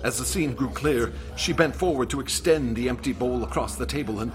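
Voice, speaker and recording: A man's voice: mid-range voice, natural voice. An actor playing a haunted young man recounting a friend's horrific death, in an intense radio drama style. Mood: grave, suspenseful. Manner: intense, halting when distressed. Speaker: narrator and lead actor. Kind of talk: dramatic radio play narration. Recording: dramatic music under the voice.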